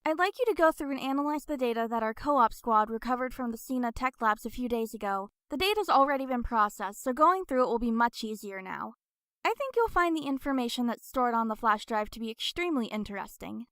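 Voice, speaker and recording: A woman's voice: a little high, lively intonation, fairly bright tone, clean tone, dry recording, studio quality. A woman voicing a composed, polite young squad member in a fan audio drama. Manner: polite, measured.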